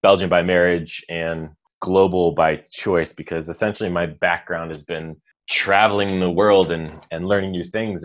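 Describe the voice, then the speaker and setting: A man's voice: deep voice, a bit bright. An American man in his thirties, a leadership coach telling his life story in a casual interview. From United States. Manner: chatty, storytelling, self-deprecating with laughs.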